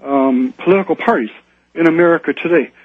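Husky man explaining over a tinny phone line. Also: a little high, no room sound. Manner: halting, with many ums and repeated words. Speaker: guest author. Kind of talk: explaining ideas on a radio show.

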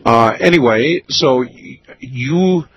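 A man hosting a radio call-in show, speaking in a slow, deliberate, measured way with pauses. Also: medium pitch, lively intonation, a bit bright, slight background noise, rough recording. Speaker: radio talk show host. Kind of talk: late-night radio call-in interview.

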